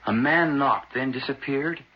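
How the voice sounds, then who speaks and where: An actor playing a husband in a horror radio drama who tries to calm his frightened wife while sounding rattled and hesitant himself. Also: mid-range voice, unhurried, breathy edge. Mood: exasperated, baffled. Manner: reassuring but rattled, hesitant. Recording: dull, band-limited, noisy radio-style recording.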